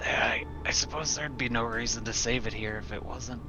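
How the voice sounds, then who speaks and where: A man's voice: mid-range voice, moderate pace. A man playing in a tabletop roleplaying game, talking casually in and out of character. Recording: dull lo-fi sound, faint noise.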